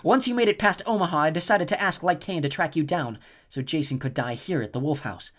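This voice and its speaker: A man voicing a cold, scheming female snow goddess who speaks softly with a purring, gloating manner. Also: fairly high pitch, a little fast, voice pushed up. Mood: icy gloating. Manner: soft, purring, icy and gloating.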